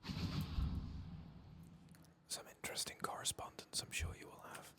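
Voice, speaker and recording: A soft-spoken man murmuring ASMR commentary as he plays a video game. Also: normal speed. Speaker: ASMR gaming streamer.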